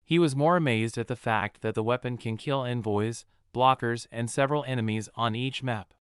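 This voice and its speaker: A man giving a scripted first-person narration as an in-character game audio log, in a smooth, clear voice. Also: mid-range voice, moderate pace, no room sound, clean studio sound.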